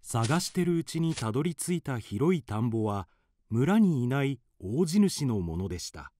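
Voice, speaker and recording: A man's voice: mid-range voice, big pitch swings, moderate pace, warm tone, no room sound. A man narrating a children's radio drama in scripted storybook style.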